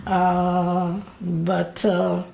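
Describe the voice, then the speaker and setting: An elderly woman in her late eighties recalls the past slowly, in a gravelly voice. She halts often and fills the gaps with pauses. The room echoes around her. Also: fairly low pitch, monotone, dark tone. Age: late 80s. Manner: halting, with frequent fillers and pauses. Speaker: interviewee. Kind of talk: slow, reflective memories. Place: indoors. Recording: roomy echo.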